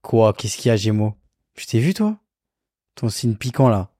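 Homme taquin à la voix chaude. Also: male voice, fairly low pitch, sing-song, moderate pace, dark tone, no echo, studio quality. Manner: joking, teasing, mock-indignant. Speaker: podcast co-host. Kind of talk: casual chat.

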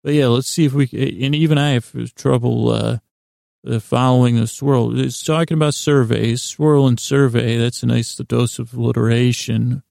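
A man hosting a bedtime podcast in a rambling monologue, mumbling and stumbling apologetically through halting, meandering, self-deprecating asides. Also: mid-range voice, steady tone, vocal fry, a little mellow, no room sound, clean studio sound. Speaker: podcast host. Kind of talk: rambling bedtime monologue to help listeners sleep.

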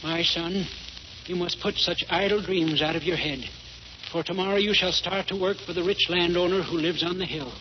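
A man's voice: high voice, fairly even intonation, normal speed, a little hiss or hum, natural voice. A man playing a weary, worried poor father who gives solemn, sorrowful counsel, in 1940s radio style. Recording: dull 1940s radio broadcast.

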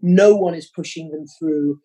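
A man answering interview questions with passionate, quick-flowing arguments. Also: fairly high pitch, mellow. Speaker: interview guest, parent.

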